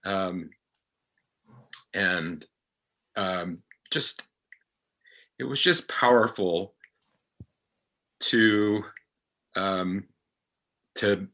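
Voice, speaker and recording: Deliberate, halting low-voiced man. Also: bright, forceful tone. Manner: halting, searching for words, earnest. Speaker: podcast actor, guest. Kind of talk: reflective discussion of a podcast episode.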